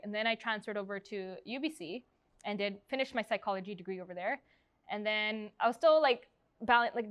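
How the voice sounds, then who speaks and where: A woman in her twenties from Canada talks through an oral history interview in an upbeat, rambling way, full of "like" and "um". Her voice is smooth and crisp. It is recorded dry and close, with no room sound. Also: medium pitch, no room sound. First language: Punjabi. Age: 20s. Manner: chatty, upbeat, lots of fillers and restarts. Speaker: interviewee, schoolteacher.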